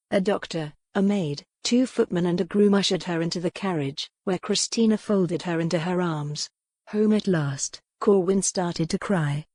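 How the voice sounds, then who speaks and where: Lower-voiced woman narrating an audiobook. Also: mellow, no room sound. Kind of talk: scripted narration of historical romance.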